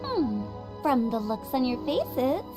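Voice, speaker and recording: Quirky cheerful funeral director girl from a video game, high voice, teasing n theatrical, bit of music behind. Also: big pitch swings, slow pace, exaggerated voice. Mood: playful teasing. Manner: theatrical, teasing, sing-song storytelling. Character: playful, quirky young woman funeral director, cheerful and mischievous. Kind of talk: video game character dialogue.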